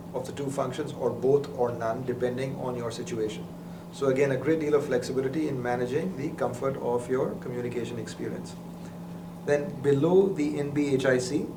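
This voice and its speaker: Man giving a product walkthrough, echoey and distant. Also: mid-range voice. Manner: methodical, explanatory. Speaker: product demonstrator. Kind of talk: unscripted instructional product walkthrough. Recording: roomy, distant mic with clear background noise.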